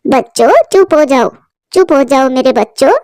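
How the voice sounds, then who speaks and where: A woman playing a kind crow auntie from a cartoon story. She speaks gently and soothingly, with a dry, close sound. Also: high voice, expressive, normal speed, no room sound. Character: kind motherly female crow neighbor.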